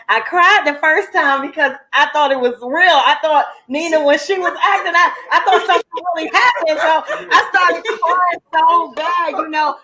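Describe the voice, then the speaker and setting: A woman's voice: high voice, bright, forceful tone, low-quality recording. A woman giving a personal testimony as a cast member, earnest and emphatic, repeating phrases as she talks. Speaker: stage play cast member.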